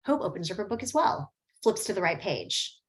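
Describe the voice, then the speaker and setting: Woman, reading stage directions, plain even narration, mid pitch. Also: moderate pace.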